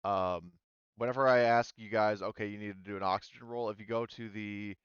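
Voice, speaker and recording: A man's voice: lowish voice, fairly even intonation, fairly quick, clear, resonant tone, dry recording. A man running a casual online tabletop role-playing game as game master, explaining in hesitant pieces. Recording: dull, slightly muffled audio.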